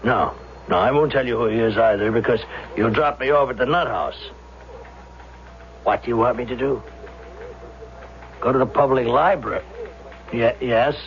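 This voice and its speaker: An actor playing a harried, skeptical homicide lieutenant with a stammering, incredulous manner in a 1970s radio drama. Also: male voice, medium pitch, moderate pace, pauses often, close to an everyday voice. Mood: flustered, defensive. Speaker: police detective. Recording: dull vintage radio recording, faint noise.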